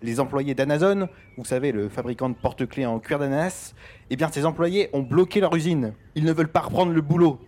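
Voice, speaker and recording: An actor playing a brash, blustering government minister with a familiar manner in a satirical audio drama. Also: male voice, fairly high pitch, a little fast, a little mellow, close to an everyday voice. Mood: annoyed. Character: brash, blustering male government minister, the boss's loyal lieutenant.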